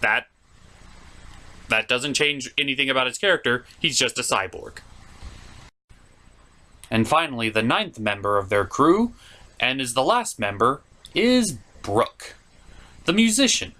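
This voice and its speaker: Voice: mid-range voice, very animated, unhurried, long pauses, sharp tone. A host doing a scripted comedic video review in an opinionated, sarcastic, mock-exasperated way.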